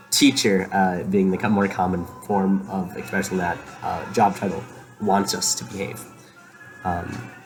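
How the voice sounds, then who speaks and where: A young man hosting a rambling solo monologue from a room in a shared house, talking quietly and musing aloud with lots of fillers. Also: low-pitched, poor audio. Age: young adult. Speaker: podcast host. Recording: quiet home recording with music behind. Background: faint music playing.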